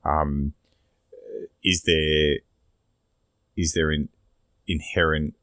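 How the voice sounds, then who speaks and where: Australian male podcast host, extremely low voice, casual rambling delivery, dry close sound. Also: flat delivery, drawn-out words, fairly bright tone, dry recording. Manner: rambling, sweary. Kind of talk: casual opinionated discussion.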